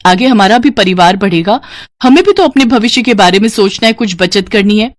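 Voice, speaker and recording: A woman's voice: medium pitch, animated, brisk, no room sound, natural voice. A woman voicing a practical married elder sister, firm and earnest, in a storybook tale. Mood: irritated.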